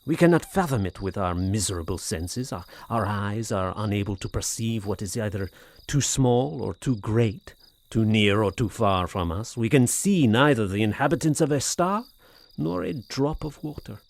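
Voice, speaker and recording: An actor playing a tormented, anxious French gentleman in an audio horror drama, speaking formally with hesitant, brooding, theatrical delivery. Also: fairly low pitch, animated, moderate pace. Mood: haunted brooding. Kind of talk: audio horror drama acting, period literary diction.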